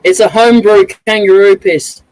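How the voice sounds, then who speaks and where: High-voiced joking man. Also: steady tone, moderate pace, darkish tone, low-quality recording. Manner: jokey, laughs often, shows off his work. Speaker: woodworker guest. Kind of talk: casual chat.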